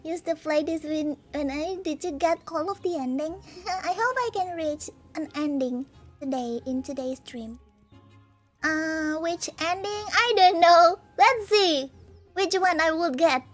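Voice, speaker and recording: A young Indonesian-accented woman streams live, chatting and giggling as she reads out comments. Her voice is high and light, and soft background music plays faintly behind her. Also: unhurried. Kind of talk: casual live-stream chat.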